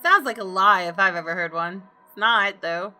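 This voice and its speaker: Bright-voiced woman reading a story game aloud. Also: mid-range voice, normal speed, soft background music. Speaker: gaming video host. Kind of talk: reading visual novel narration aloud, with asides.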